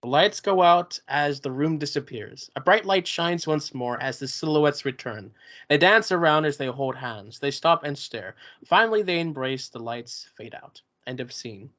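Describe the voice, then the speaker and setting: A man reading stage directions at a table read, in a plain, even way with small stumbles. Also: on the high side, moderate pace. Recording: muffled lo-fi recording.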